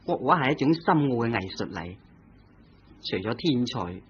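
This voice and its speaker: 一名男演员以香港粤语口音饰演一位三十出头、彬彬有礼又慌张结巴的画家，老式广播剧录音。. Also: male voice, mid-range voice, big pitch swings, moderate pace, lightly acted. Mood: earnest. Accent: Hong Kong Cantonese. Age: 30s. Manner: courteous, hesitant, stammering. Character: smitten young painter, polite and flustered. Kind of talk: vintage radio drama acting. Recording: old muffled radio broadcast with hiss.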